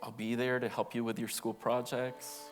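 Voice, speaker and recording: A man's voice: mid-range voice, level tone, normal speed, soft background music. A young man reading an autobiographical monologue aloud in an earnest, emotive storytelling way. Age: young adult.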